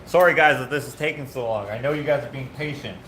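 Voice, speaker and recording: A man's voice: medium pitch, quick pace. Rambling man in an echoey workshop. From Canada. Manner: rambling, self-correcting, chatty with viewers. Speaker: host of a live build stream. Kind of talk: casual chat while working. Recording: echoey workshop with loud background noise.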